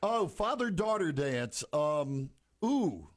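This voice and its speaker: A man from Louisiana, co-hosting a morning radio show, rambling and joking in casual banter with a low voice. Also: very animated, slow. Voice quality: low-register voice. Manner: rambling, joking, self-interrupting. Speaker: radio show co-host and wedding singer. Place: radio studio.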